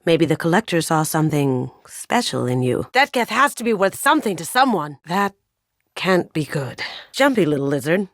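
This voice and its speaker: A young woman, a master thief fighting alongside a space squad in a video game. She is playful and wry, tossing out casual quips with a lively, mobile pitch. The recording is close and dry. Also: moderate pace, no echo.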